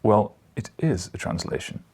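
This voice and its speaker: A man voicing a gruff, sceptical bacteriologist in an audiobook, dry and blunt in manner. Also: moderate pace, a little mellow, lightly acted. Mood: matter-of-fact. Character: gruff, sceptical male bacteriologist friend at a newspaper. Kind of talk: audiobook character dialogue.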